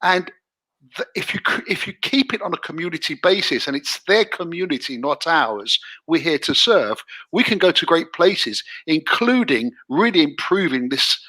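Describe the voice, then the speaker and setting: A man's voice: on the high side, very animated, normal speed, pushed and bright, low-quality recording. A man with an English accent, co-hosting a casual discussion, emphatic and tagging points with "right?". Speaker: co-host.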